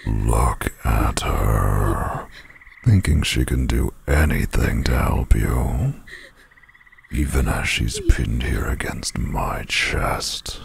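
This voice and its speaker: Very deep gravelly werewolf villain. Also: male voice, heavily acted. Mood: gloating cruelty. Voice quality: very deep gravelly growl, often breathy. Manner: slow, taunting, mock-polite menace. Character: menacing male werewolf pack leader, sadistic villain. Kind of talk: dramatic audio roleplay acting.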